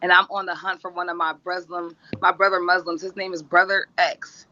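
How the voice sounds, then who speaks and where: A woman's voice: on the low side, level tone, fairly quick, sharp tone, a little hiss or hum. A woman talking at length in an interview clip, insistent and assertive, repeating her points. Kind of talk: rambling monologue. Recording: dull lo-fi clip.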